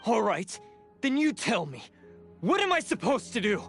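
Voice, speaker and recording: A proud blue oni burdened by guilt, grim and self-sacrificing. His voice sits high for a man and moves expressively, with intense game-drama delivery over music. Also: wildly swooping pitch, moderate pace, creaky, heavily acted. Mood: bitter, resolved. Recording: background music under the voice.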